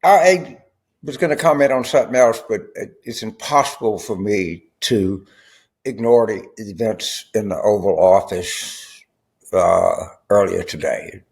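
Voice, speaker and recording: A man from South Louisiana giving informal commentary in a halting, deliberate manner. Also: fairly low pitch, lively intonation, gravelly, a little mellow. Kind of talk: slow informal political commentary.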